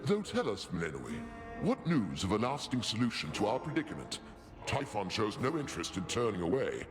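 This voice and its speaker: A man's voice: fairly low pitch, huge pitch swings, brisk, music in the background. A man playing a commanding king of the gods in a fantasy game, pompous, lordly and formal in manner. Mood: lordly, smooth.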